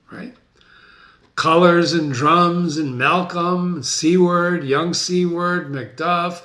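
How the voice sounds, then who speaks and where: Casting: enthusiastic male reader-explainer, bright forceful tone, slightly high pitch, casual teaching delivery. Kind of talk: dramatic reading with casual explanatory asides.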